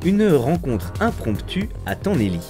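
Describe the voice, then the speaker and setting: A man's voice: on the high side, sing-song, a little slow. A man narrating a show from a script. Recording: clear music under the voice.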